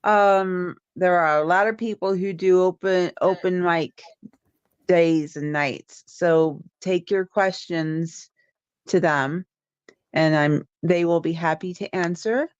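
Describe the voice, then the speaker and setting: A woman reads a tarot card aloud for a livestream, taking her time and circling back with ums and restarts. Her voice sits a little low and comes through dull and lo-fi, close and dry with no room around it. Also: steady tone, takes it slowly, clear, resonant tone, no room sound. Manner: meandering, with many ums and restarts. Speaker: tarot reader and co-host. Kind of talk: casual livestream card reading. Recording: dull lo-fi stream audio.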